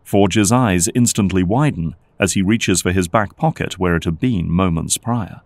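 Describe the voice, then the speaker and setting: Very deep-voiced male drama narrator. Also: moderate pace, darkish tone, no echo.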